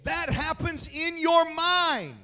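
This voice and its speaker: A man, preaching an energetic sermon with a handheld mic on a large church stage, emphatic and shouting key points. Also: very high-pitched, takes it slowly. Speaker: pastor. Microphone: handheld microphone. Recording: echoey hall, mic set back.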